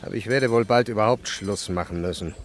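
An actor playing a resigned, philosophical, unhurried house painter in a radio drama. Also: male voice, on the low side, lightly acted. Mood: resigned, musing. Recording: faint outdoor birdsong noise.

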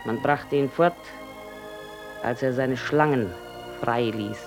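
A woman's voice: very deep voice, slow pace, music in the background, full character voice. An actress in a German radio drama playing a sick woman in an asylum, self-mocking, teasing and wistful. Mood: wistful, reminiscing.

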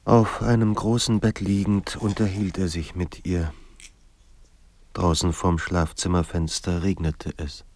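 A man performing as a somber narrator of a troubled writer's life in a radio drama, with measured, grave delivery. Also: low-pitched, flat delivery, a little mellow, a little hiss or hum. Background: music.

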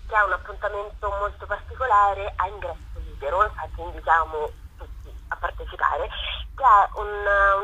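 A woman's voice: mid-range voice, pushed and bright, husky. A woman speaking enthusiastically as a theatre company guest in a radio interview over the telephone. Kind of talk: radio interview, promoting a festival. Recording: noisy telephone line on radio.

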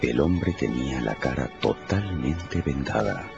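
A man's voice: rumbling low, animated, a little slow, gravelly. A man narrating a radio novel in a dramatic, scripted storytelling style. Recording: muffled radio production with music underneath.

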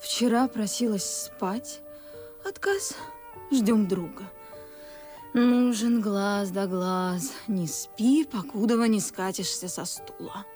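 An actress playing a saucy, lively young maidservant in a verse comedy radio play, with a theatrical, teasing delivery. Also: female voice, mid-range voice, takes it slowly, faint music behind, close to an everyday voice. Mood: sleepy, grumbling.

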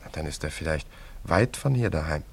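A man's voice: rumbling low, animated, brisk, creaky, slightly rough, full character voice. An actor playing a carefree, teasing young wandering man in a German radio play, in an easygoing, bantering way. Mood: curious, friendly.

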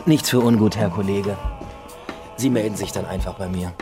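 Casting: man playing dogged detective, lowish voice, cool and direct pressure, radio play scored with music. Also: normal speed, close to an everyday voice. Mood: insistent. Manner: direct, insistent. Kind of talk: radio drama acting.

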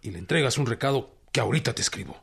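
An actor playing an earnest, plain-spoken peasant leader with a rural Mexican accent in a radio drama. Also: male voice, mid-range voice, animated, very fast, creaky, heavy background noise. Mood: decisive. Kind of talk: Spanish-language radio drama acting.

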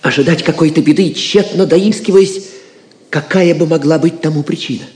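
An actor playing a wounded, earnest and uneasy young knight in an audio drama. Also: male voice, on the high side, normal speed, a little mellow, close to an everyday voice. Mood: uneasy, pleading. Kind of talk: theatrical audio drama acting. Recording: echoey, reverberant room.

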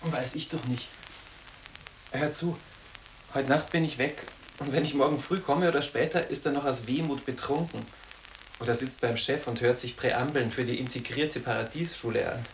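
An actor as a terse, sarcastic schoolboy. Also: male voice, medium pitch, moderate pace, slight background noise, natural voice, poor audio. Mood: blasé sarcasm. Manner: dry, terse, offhand. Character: sarcastic, blasé teenage boarding-school boy. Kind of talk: literary German radio drama dialogue.

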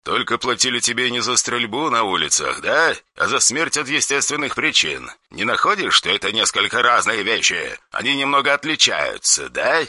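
A man voicing a cold, menacing male caller who speaks in a dry, hard, flat voice. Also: medium pitch, moderate pace, hardly any pauses, dry recording, voice pushed up, exaggerated voice. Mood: cold menace. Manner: flat, quiet, threatening.

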